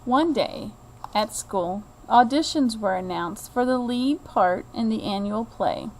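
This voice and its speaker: Slow, higher-voiced woman reading a storybook. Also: slight background noise, low-quality recording. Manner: slow, expressive, deliberate reading to a child. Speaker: teacher reading a picture book aloud. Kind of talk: children's storybook read-aloud.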